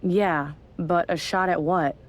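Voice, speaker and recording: A woman's voice: fairly low pitch, steady tone, takes it slowly. An actress playing a sarcastic, no-nonsense woman general manager in a wrestling video game, dry and authoritative. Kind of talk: video game cutscene acting.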